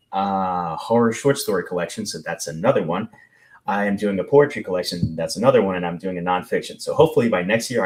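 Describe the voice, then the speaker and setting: Guy on a headset video call, low voice, rambly and self-deprecating. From Puerto Rico. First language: Spanish. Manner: rambling, self-deprecating, animated with hand gestures. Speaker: author guest. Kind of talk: casual panel chat. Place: home room.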